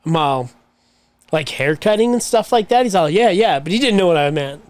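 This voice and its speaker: A man's voice: high-pitched, lively intonation, normal speed, no room sound. Chatty man telling a long story. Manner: swearing, rambling anecdote with quoted voices. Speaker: podcast co-host. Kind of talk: casual storytelling chat.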